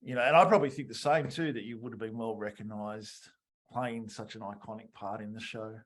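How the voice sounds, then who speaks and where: A man's voice: lowish voice, steady tone, a little fast. A man hosting a casual interview, reassuring and appreciative as he talks with his guest and reads comments. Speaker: podcast interview host.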